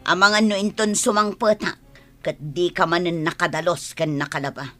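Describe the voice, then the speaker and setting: A woman's voice: on the low side, steady tone, moderate pace, bright, forceful tone. A woman acting a stern, disappointed mother in an audio drama, over music. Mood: reproachful. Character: stern, disappointed middle-aged mother scolding her daughter.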